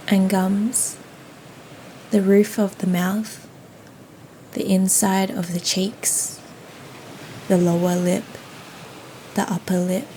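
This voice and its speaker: A woman leading a guided yoga nidra meditation in a soothing, gentle, unhurried manner. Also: medium pitch, poor audio. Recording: faint steady ocean noise behind. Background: ocean waves ambience.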